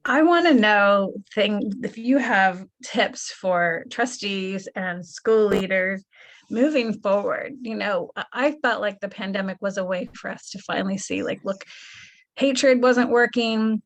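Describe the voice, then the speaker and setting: A woman's voice: mid-range voice, measured pace. A woman hosting a podcast who asks long, thoughtful, rambling questions. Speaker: podcast host. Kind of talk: interview questions.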